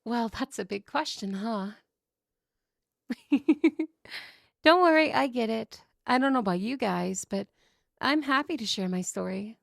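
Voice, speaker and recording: A woman's voice: a little high, expressive, a little slow, vocal fry, long pauses, no room sound, clean studio sound. A woman playing an outgoing, cheerful young woman in a scripted audio roleplay, telling stories in a chatty, playful way. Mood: friendly. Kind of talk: scripted ASMR roleplay acting.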